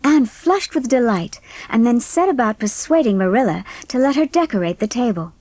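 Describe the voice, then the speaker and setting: A woman's voice: on the high side, animated, moderate pace, a little mellow, no room sound. A woman reading scripted storybook narration as the narrator of a dramatised audiobook.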